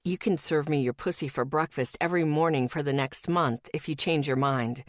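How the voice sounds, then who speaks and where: A woman voicing a cocky, teasing young boyfriend with a smug, slow drawl, her voice pitched down for him. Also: no room sound, clean studio sound, close to an everyday voice.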